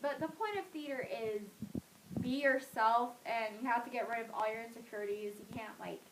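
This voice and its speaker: A woman's voice: fairly high pitch, a little fast, background noise. A teenage girl answering interview questions casually, with lots of fillers, trailing off at the ends of her thoughts. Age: teens. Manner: chatty, filler-heavy, trailing off.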